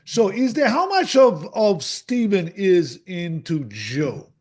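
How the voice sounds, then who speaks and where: A man hosting an author interview, enthusiastic and prone to halting restarts. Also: sits high, sing-song, a little mellow, slightly rough. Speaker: podcast host.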